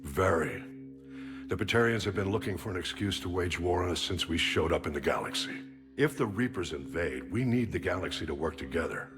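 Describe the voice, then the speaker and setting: Game admiral: older, authoritative and loyal, very low register with lively intonation. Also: male voice. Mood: grave. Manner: measured, grave, authoritative. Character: gruff older male military admiral, stern but loyal. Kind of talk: cinematic science fiction game dialogue. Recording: music under the dialogue.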